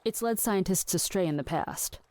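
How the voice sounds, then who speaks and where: An actress plays an earnest woman researcher in an audio drama. Her voice sits a little low for a woman. She lays out fringe theories in a measured, pedantic, matter-of-fact manner. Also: darkish tone, natural voice. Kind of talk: scripted audio drama acting.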